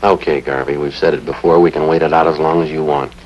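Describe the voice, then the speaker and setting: Low, rapid-fire, deadpan police detective. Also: male voice. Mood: flatly factual. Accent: American. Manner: deadpan, matter-of-fact, clipped. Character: terse, relentless male police detective sergeant. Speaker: actor. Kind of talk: 1950s television police drama acting, terse fact reciting. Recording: dull vintage 1950s TV soundtrack.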